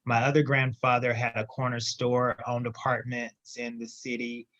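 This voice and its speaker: A man's voice: medium pitch, completely flat delivery, normal speed, a bit bright, no echo. Male guest, emphatic storyteller, muffled lo-fi call audio, dry sound. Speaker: guest entrepreneur. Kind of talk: casual interview storytelling.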